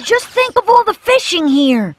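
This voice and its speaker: A woman voicing a headstrong, emotional young boy in a children's cartoon, childlike and expressive. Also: very high voice, normal speed. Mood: eager.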